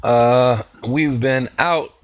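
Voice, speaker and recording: A man with a hoarse voice from a cold, talking casually to his viewers as a channel host, rambling with many fillers and restarts. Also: medium pitch, drawn-out words. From Texas. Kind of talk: casual talk to camera.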